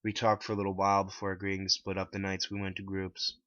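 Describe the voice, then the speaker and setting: Deep-voiced man narrating, bright and forceful. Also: monotone, fast talker, no echo. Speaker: author reading his own story. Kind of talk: scripted dramatic narration. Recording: dull lo-fi, dry close recording.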